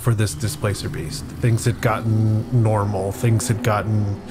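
A man's voice: on the low side, little pitch movement, a little slow, noisy background. A man playing a tabletop game as a guest, telling his character's story in a thoughtful, step-by-step way. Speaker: guest player on a tabletop podcast. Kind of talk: improvised, reflective tabletop storytelling.

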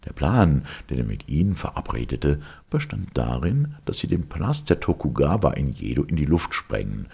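A man with a gravelly, husky voice reads a legend aloud as a storyteller, in a measured, literary manner. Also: extremely low pitch, very animated, darkish tone. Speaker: audiobook reader. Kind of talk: scripted storytelling narration of a legend.

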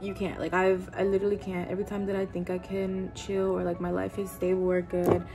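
A woman in her twenties talking candidly into the camera in her bedroom while doing her makeup, cursing casually and filling every pause with "like". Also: mid-range voice, completely flat delivery, mellow, faint music behind. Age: 20s. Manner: chatty, candid, swears often, lots of "like". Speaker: vlogger. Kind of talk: casual get-ready-with-me chat. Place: bedroom, indoors. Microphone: camera-facing, doing makeup at a desk.

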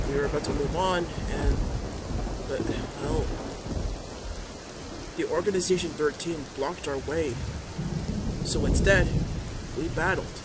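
A man playing a wounded, nervous teenage boy hero in an amateur ASMR audio drama, speaking haltingly with stammers. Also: a little high. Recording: heavy rain and thunder noise.

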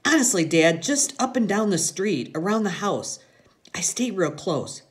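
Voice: mid-range voice, expressive, a little slow, voice pushed up, close to an everyday voice. A narrator as an earnest, deferential teenage boy. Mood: pleading. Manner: deferential, earnest, slightly hesitant. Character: polite, eager teenage son, anxious to please.

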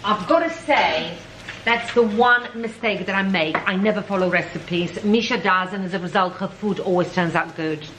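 A woman's voice: medium pitch, normal speed, a bit bright, recorded from a distance. A middle-aged woman from Poland chatting casually, in a teasing, talkative way. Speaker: vlogger's mother. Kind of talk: casual chat. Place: home kitchen, indoors. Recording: echoey kitchen, faint background noise.

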